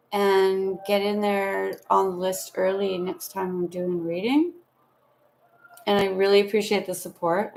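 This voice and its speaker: Slow, gravelly-voiced woman reading tarot. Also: medium pitch. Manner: soothing, meandering, spiritual talk with affectionate asides. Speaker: tarot reader. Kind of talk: live-streamed tarot reading, casual.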